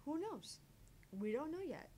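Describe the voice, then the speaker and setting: A woman's voice: sits high, sing-song, a little slow, warm tone, slight background noise. A woman co-hosting a gaming livestream, chatting casually and reading game text aloud.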